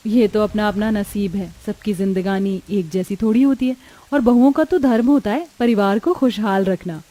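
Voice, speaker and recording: A woman's voice: mid-range voice, moderate pace, close to an everyday voice. A woman voicing a humble, dutiful poor young daughter-in-law in a cartoon moral story, polite, earnest and patient. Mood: kind, polite. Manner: polite, earnest, soft-hearted.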